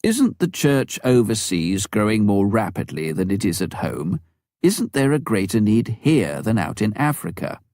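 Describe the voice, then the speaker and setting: Low-pitched man narrating, dry sound. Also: dark tone, dry recording. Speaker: audiobook narrator. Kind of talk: scripted narration, reading a memoir.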